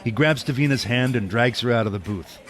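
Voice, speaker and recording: A man's voice: mid-range voice, a little fast, a crowd in the background. A man narrating an audio drama from a script as its storyteller. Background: background music.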